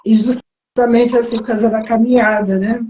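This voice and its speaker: Hoarse woman on a muffled call. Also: on the high side, fairly even intonation, warm tone. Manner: halting, brief answers. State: hoarse, losing her voice. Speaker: interview guest, activist. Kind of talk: casual conversational interview. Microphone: remote call over a live stream.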